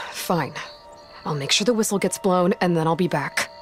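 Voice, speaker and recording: An actress playing a determined, guarded young warrior woman in a video game, earnest and terse, breathy at phrase ends. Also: fairly low pitch, moderate pace, soft background music, close to an everyday voice. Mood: brisk, firm. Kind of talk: video game dialogue acting.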